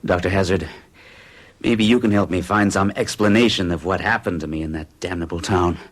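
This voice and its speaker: An actor in his forties playing a nervous accountant of fixed habits, who recounts a strange experience in an earnest, uneasy way. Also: brisk. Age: 40s. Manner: earnest, uneasy storytelling with a lively pitch. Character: nervous middle-aged male accountant of rigid habits. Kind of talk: 1970s radio drama acting.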